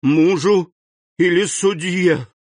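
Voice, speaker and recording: A man voicing a terrified, trembling noblewoman who falters and pleads in hushed, breaking phrases. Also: fairly low pitch, big pitch swings, slow pace, breathy edge, no echo, clean studio sound, full character voice. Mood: frightened pleading.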